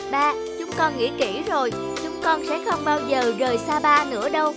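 Woman voicing a high-pitched, devoted young schoolboy. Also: normal speed, loud music behind the voice, natural voice. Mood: earnest. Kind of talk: scripted cartoon dubbing.

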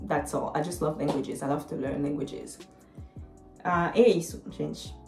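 A young woman in her twenties, a native French speaker with a French accent, chatting to camera with many fillers and pauses as she answers viewer comments. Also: deep voice, fairly even intonation, reverberant, faint music behind. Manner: hesitant, many fillers, switches into English. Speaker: vlogger. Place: small indoor room.

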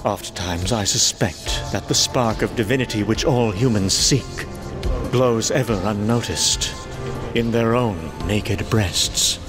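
A man's voice: on the low side, slow, music in the background. A man playing a noble, anguished alien herald, delivering solemn, archaic, theatrical declamation in a comic-book drama. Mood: sorrowful resolve.

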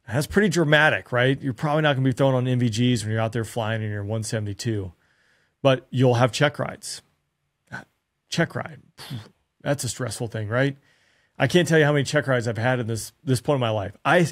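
Earnest man coaching pilots, rhetorical questions. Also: mid-range voice. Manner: earnest, conversational, asks himself rhetorical questions. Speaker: former fighter pilot giving flying advice. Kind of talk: direct-to-camera instructional talk. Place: small dark studio room. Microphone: studio mic on stand in front of him.

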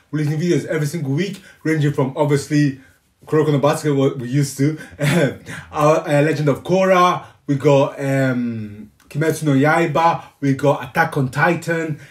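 A young man hosting a reaction channel, chatting casually to camera from a room at home. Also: fairly high pitch. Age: young adult. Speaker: YouTube reaction channel host. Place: small room at home, on a couch.

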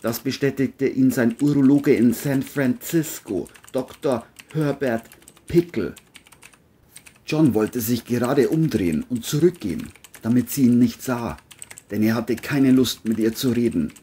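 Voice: medium pitch, unhurried, dark tone, husky. A narrator reading a scripted crime audio drama, telling the story between the characters' lines.

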